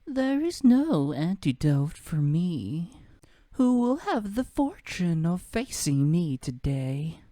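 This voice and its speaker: Woman doing a low, sultry femme fatale. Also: sing-song, slow pace, dark tone, no echo. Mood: seductive, menacing. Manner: sultry, taunting. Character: cool, seductive, menacing femme fatale.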